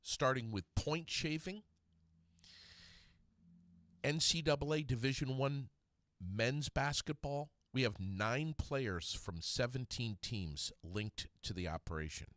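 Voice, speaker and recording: A man hosting a radio talk show, chatting casually and reading out a news story with animated emphasis. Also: medium pitch, slow pace, dry recording.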